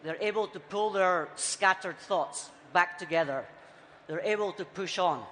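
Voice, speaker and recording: A man with a Scottish accent softened by years abroad, giving a punchy, rhetorical conference talk in short, repeated phrases. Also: sits high, a little slow. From Scotland. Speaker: conference speaker. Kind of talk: keynote talk on stage. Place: large conference hall. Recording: reverberant hall, faint background noise.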